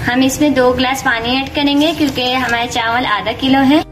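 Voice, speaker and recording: Female home cook for recipe voice-over, high voice, roomy echo. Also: steady tone, fairly quick. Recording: echoey room, music underneath. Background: background music.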